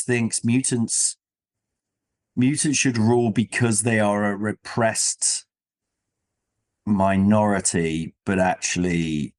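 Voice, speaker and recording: A man hosting a casual discussion, thinking aloud in a rambling, self-questioning way. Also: medium pitch. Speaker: podcast host. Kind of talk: casual comic-book discussion.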